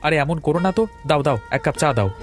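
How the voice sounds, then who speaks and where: A man's voice: fairly high pitch, expressive, rapid-fire, faint music behind, lightly acted. A man playing a pleading, freeloading village tea customer in an audio drama, talking in a wheedling way. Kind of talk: Bengali audio story drama acting.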